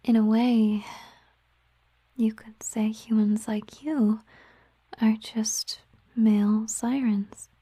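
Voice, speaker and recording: A woman voicing a gentle, affectionate siren in a whispered ASMR roleplay, speaking softly and intimately in an unhurried, musing way, close to the microphone. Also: on the high side, flat delivery, no echo, low-quality recording. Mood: tender, musing. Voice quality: soft, breathy whisper. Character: gentle, affectionate female siren, a mermaid girlfriend. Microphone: close microphone, dry sound.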